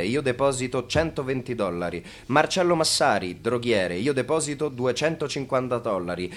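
A formal chronicler in a radio drama, played by a man. He has a medium-pitched voice and declaims in short, list-like announcements. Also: lightly acted. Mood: formal roll call. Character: declamatory male chronicler listing investors and sums.

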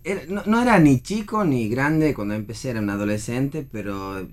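An Argentine man, a stage actor, talking earnestly and haltingly in a casual radio discussion about theater, repeating words as he searches. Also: medium pitch, expressive.

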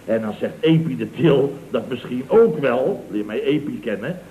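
A man's voice: medium pitch, animated, normal speed, dark tone, lightly acted. A man playing a scheming, self-pitying boss of a building firm in a radio comedy drama, theatrical and wheedling. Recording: dull old radio recording with hiss.

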